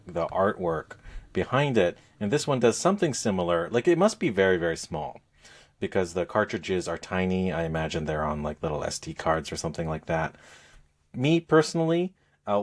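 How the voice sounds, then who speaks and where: A middle-aged man hosting a casual podcast, talking in a measured, hesitant way with frequent pauses. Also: sits low. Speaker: podcast host. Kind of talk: casual gaming podcast chat.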